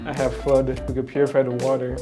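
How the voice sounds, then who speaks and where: A man's voice: medium pitch, completely flat delivery, fast talker, music in the background. A man making his case as a panelist in a casual, chatty debate.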